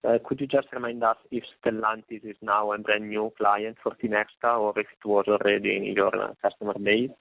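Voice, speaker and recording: A man's voice: fairly low pitch, flat delivery, fairly quick, gravelly, hardly any pauses, dry recording. A male financial analyst asking a follow-up question on an earnings call. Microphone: phone. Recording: dry, narrow phone line.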